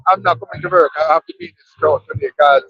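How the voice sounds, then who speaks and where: A man's voice: a little high, quick pace, gravelly, breathy edge, dry recording. Emphatic middle-aged Jamaican man. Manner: emphatic, tags lines with "right?", repeats himself. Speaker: protester being interviewed. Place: outdoors on a wet street. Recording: dull lo-fi outdoor recording.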